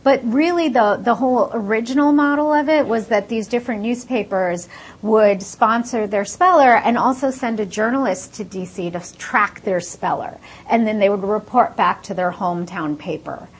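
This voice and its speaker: A woman's voice: fairly high pitch, normal speed, breathy edge. A woman, born in India and raised in the United States, explaining her research fluently in a casual interview with many "like" fillers.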